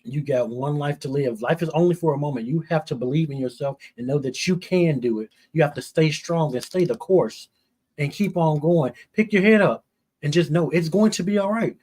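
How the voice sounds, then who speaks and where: A man's voice: a little high, normal speed, darkish tone, husky. A man speaking as an interview guest, giving earnest, emphatic motivational encouragement in short repeated phrases.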